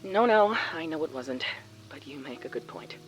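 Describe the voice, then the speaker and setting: A woman's voice: low-pitched, expressive, normal speed, soft background music, low-quality recording. An actress playing an anxious, hesitant woman in an audio drama, speaking in a natural everyday way. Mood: worried. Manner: worried, hesitant. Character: anxious, polite woman searching for someone.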